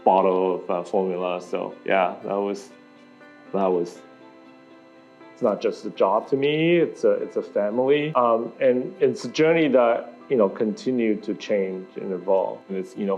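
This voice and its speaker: Brief: man from Taiwan, low voice, reflective story, faint music bed. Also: vocal fry, dull audio. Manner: reflective, conversational. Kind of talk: personal story in a corporate video. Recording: soft music underneath.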